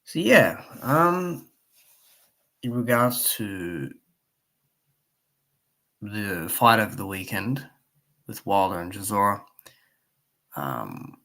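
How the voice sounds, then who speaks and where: A man with a croaky voice, chatting casually on a live stream about boxing, with plenty of fillers and asides to his chat. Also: on the low side, sharp tone. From Australia. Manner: rambling, many fillers, reads chat aloud.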